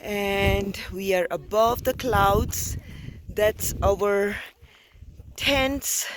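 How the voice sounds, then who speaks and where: A hoarse woman narrating her mountain climb in a slow, breathless, casual way, filming outdoors on a handheld camera. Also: medium pitch, a little hiss or hum. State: hoarse, lost voice. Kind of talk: casual travel vlog narration.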